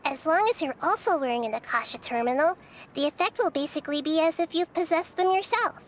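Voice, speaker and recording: High-voiced wise little girl deity. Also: animated, a little hiss or hum. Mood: assured. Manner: measured, explanatory. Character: calm, wise young girl deity, gentle strategist. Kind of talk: video game dialogue.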